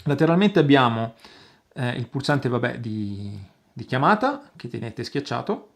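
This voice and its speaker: A man hosting an outdoor gear review, explaining casually to viewers with halting pauses and repeated words. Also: medium pitch, big pitch swings. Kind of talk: casual product demonstration to viewers.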